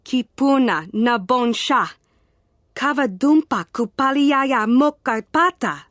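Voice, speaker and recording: Dry close sound, higher female voice, woman performing an intense sci-fi dark warrior, alien-language game dialogue. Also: expressive, takes it slowly, no echo, exaggerated voice. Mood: questioning. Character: intense female fallen Jedi speaking an invented alien tongue.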